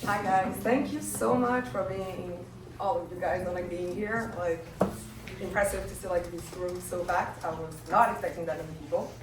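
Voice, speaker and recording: A woman's voice: fairly low pitch, moderate pace. A woman moderating a panel, talking casually with many "like" fillers. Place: crowded hall. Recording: echoey room, distant mic, noise.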